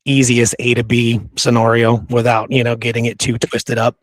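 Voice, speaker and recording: A man's voice: mid-range voice, completely flat delivery, moderate pace, dry recording. A man hosting a podcast, talking through history in an informal, conversational way. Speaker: podcast host. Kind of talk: casual history discussion.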